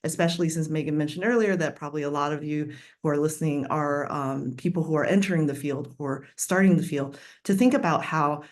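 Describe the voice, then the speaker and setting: A woman's voice: low-pitched, fairly quick. A woman from Taiwan, a professor speaking on a webinar panel over a video call, thoughtful and working through long layered sentences with many fillers. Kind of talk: academic webinar discussion.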